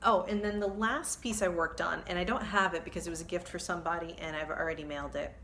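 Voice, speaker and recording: A woman's voice: lowish voice, steady tone, fast, pushed and bright, slight background noise. A woman in her thirties chatting to camera from her living room, rambling and correcting herself with many ums. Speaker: cross-stitch vlogger. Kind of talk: casual chat to camera. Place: living room with kids' toys, indoors. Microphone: phone camera at arm's length.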